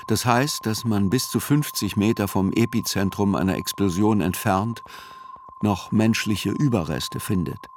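A man reads a scripted literary radio narration in a measured, sober way. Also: on the low side, background noise.

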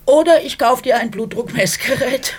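A woman's voice: on the high side, moderate pace, lightly acted. A woman acting an exasperated, caring wife in middle age. Her delivery is naturalistic radio drama, dry and scolding with a sardonic edge, over faint background noise. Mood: dry, insistent.

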